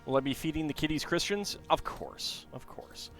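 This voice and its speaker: A man's voice: fairly high pitch, normal speed, a bit bright, faint music behind. A man casually chatting to his viewers while building a Lego set, reading facts aloud and talking to chat.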